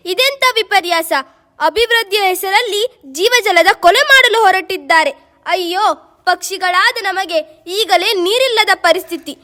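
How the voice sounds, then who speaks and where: A woman voicing a caring mother bird who leads her young and speaks gently. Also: extremely high pitch, long reverb tail. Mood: dismayed. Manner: gentle, storytelling. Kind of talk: amateur radio play.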